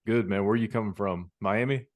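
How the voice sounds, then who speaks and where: A man's voice: fairly low pitch, a little fast, no echo. A man hosting a fight podcast, asking casual, friendly questions and calling his guest "man". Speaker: podcast host. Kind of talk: casual interview.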